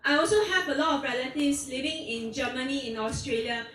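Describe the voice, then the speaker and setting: A woman's voice: high-pitched, a bit bright. Earnest woman in her thirties at a rally. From Singapore. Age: 30s. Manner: earnest, measured delivery with rhetorical questions. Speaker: protest speaker. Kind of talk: public speech at an outdoor rally. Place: outdoor park rally. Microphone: PA microphone recorded from the audience. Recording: distant echoey outdoor PA recording.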